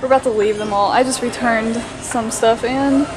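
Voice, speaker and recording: A woman's voice: on the high side, steady tone, normal speed, a crowd in the background, rough recording. A tired woman chatting casually to her vlog viewers. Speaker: vlogger. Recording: clear car and street noise.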